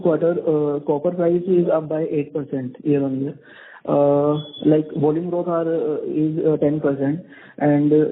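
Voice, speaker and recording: A man, an analyst asking questions on an earnings call, halting and repeating his words. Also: on the high side, a little hiss or hum. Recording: phone line.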